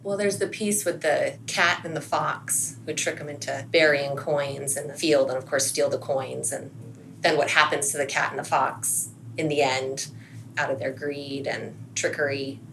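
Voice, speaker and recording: A woman's voice: deep voice, steady tone, moderate pace, vocal fry, a bit bright, poor audio. A middle-aged American woman, a podcast guest speaking in a casual, reflective conversational style. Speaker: podcast guest, school assistant principal. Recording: faint background noise.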